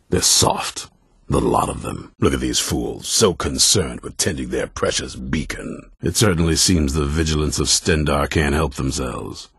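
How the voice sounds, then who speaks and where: A battle-hardened commander of vampire hunters for a fantasy video game. His voice is exceptionally deep, thick with gravel and very husky. He speaks sternly and bluntly, trusting nobody. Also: a bit bright, dry recording, heavily acted. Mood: scornful, bitter. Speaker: leader of an order of vampire hunters. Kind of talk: video game character dialogue.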